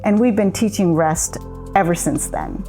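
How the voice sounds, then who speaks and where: A woman co-founder giving a measured, explanatory account in a scripted promotional conversation. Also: fairly low pitch, huge pitch swings, vocal fry, warm tone, faint music behind. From immigrant. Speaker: co-founder of a coaching method.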